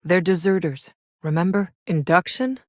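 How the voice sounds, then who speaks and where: A tough, wry female scout played by a woman with a low voice, recorded very dry and close. She delivers terse, matter-of-fact video game lines like a soldier sizing up hostile ground. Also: normal speed, no echo, lightly acted. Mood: dry, firm.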